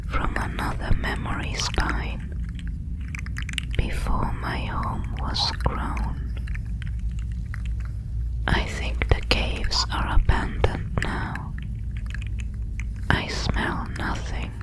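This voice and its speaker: A woman voicing a curious, lonely alien creature in an ASMR audio drama, in a breathy whisper with solemn, deliberate phrasing. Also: poor audio. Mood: curious, contemplative.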